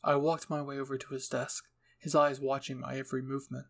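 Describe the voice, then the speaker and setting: A man narrates a scripted story in a dramatic reading style. His voice sits at a middle pitch with a noticeable creak. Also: level tone, fairly quick, fairly bright tone. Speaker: narrator, fan fiction reader.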